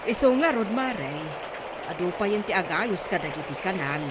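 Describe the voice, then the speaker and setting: Woman acting worried village neighbour, mid-pitched, Ilocano accent, radio drama, very loud background noise. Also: animated, moderate pace, lightly acted. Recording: very noisy radio drama ambience.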